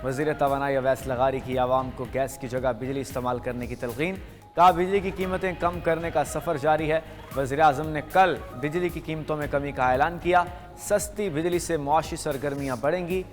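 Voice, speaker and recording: A man's voice: a little high, steady tone, normal speed. Pakistani male news anchor in heavy reverb. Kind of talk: scripted news headline reading. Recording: long reverb with music underneath. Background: music bed.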